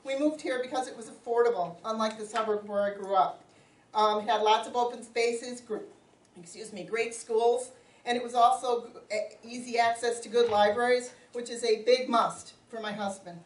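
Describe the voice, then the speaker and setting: A middle-aged woman giving a loud, plainspoken opening statement as a candidate at a community forum. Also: fairly high pitch, fairly even intonation, moderate pace. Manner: loud, plainspoken, self-joking. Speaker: township supervisor candidate. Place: community meeting room. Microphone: no microphone, picked up from a distance. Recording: roomy, distant, faint room noise.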